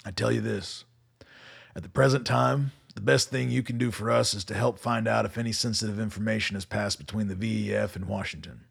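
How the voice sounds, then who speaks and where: An actor playing a weary middle-aged colonel in a radio drama, measured and commanding. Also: male voice, fairly low pitch, fairly even intonation, exaggerated voice. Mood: grave, urgent. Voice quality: gravelly, husky. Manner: measured, authoritative.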